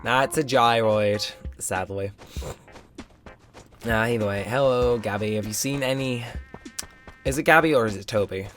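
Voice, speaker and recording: A young man with a slightly hoarse, strained throat, rambling casually with lots of fillers while commenting on a game. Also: mid-range voice, normal speed, pauses often, fairly bright tone. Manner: rambling, filler-heavy, self-mocking. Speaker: let's play host. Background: game music.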